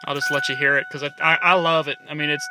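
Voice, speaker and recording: A man's voice: a little high, little pitch movement, fast talker, over music, rough recording. A man rambling casually through a vlog from inside his parked SUV, swearing offhand and stumbling over his words. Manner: rambling, loose, swears casually, many ums and restarts. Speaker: vlogger reviewing his CB radio.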